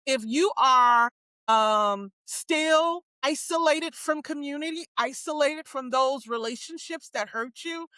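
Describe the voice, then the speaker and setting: Bright, emphatic woman host. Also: high voice, slow, dry recording. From United States. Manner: emphatic, keeps asking "right?". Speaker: podcast host. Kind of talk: casual faith-based discussion.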